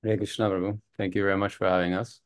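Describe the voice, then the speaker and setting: A man's voice: low-pitched, flat delivery, quick pace, clean tone. A man in his thirties from Canada, a native French speaker, telling his personal story as an interview guest. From Montreal, Canada. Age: 30s. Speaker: podcast guest.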